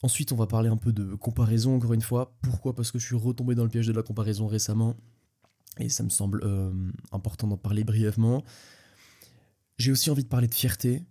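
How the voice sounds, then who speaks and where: A man's voice: lowish voice, warm tone. Young man with a head cold, rambling. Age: young adult. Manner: rambling, many restarts and fillers, addresses listener directly. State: congested with a cold, sniffling. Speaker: podcast host. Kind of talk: casual solo podcast talk.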